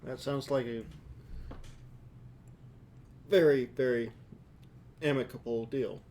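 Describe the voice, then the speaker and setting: A man's voice: mid-range voice, fairly even intonation, slow pace, a little mellow, slight background noise. A man playing a formal vampire negotiating a deal at a tabletop game, speaking in a stiff, polite way. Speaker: tabletop player.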